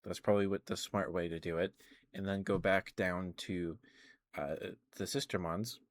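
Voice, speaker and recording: A man's voice: low-pitched, steady tone, normal speed, no echo, studio quality. A man streaming casual ASMR chat about video games, rambling and hesitant as he trails off and corrects himself.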